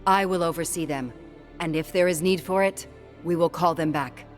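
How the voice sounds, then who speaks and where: An actress playing a composed, authoritative leader of trackers in a fantasy game. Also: female voice, on the low side, normal speed, faint music behind, close to an everyday voice. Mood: calm, persuasive. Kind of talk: dramatic fantasy game acting.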